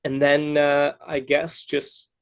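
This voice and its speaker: Man, podcast host, creaky voice, casual interviewing, halting and rephrasing. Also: a little high, little pitch movement. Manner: halting, rephrases questions midway.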